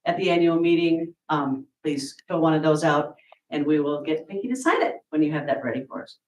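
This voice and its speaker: A woman with a loud, jokey delivery, making an announcement to a congregation. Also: sits low, a little fast. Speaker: church member making an announcement. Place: church sanctuary. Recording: roomy church sanctuary from a distance.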